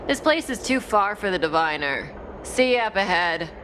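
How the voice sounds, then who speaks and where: A woman's voice: medium pitch, expressive, moderate pace, background noise, natural voice. An actress voicing a cool, coy, enigmatic woman outlaw in a video game, languid and amused. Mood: teasing, calm.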